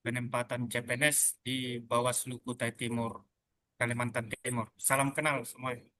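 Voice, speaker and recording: A man from Medan answers the host in short, earnest statements. His bright voice stays flat in pitch and sounds close and dry. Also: normal speed, no room sound. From Medan, North Sumatra. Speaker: podcast guest, civil servant. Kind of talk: casual group podcast chat.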